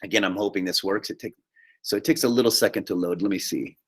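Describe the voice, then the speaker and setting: A man teaching a high school class online, giving informal step-by-step instructions and often checking that students follow along. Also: fast talker, noisy background. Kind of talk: live online class instruction, screen-share walkthrough.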